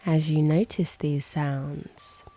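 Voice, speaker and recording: A woman guiding a meditation in a hushed, soft manner, with breathy phrase endings and long pauses. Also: sits low, level tone, a little hiss or hum. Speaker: host and meditation guide. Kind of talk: guided meditation, slow and hushed.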